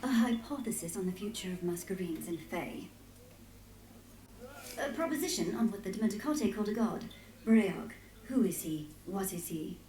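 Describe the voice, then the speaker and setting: A mellow-voiced woman scholar, played by an actress in a fantasy game. She is inquisitive and insistent, medium in pitch, with a roomy echo around her. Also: lively intonation, moderate pace, lightly acted. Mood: eager, insistent. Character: keen, headstrong woman scholar, a historian. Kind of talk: dramatic video game voice acting.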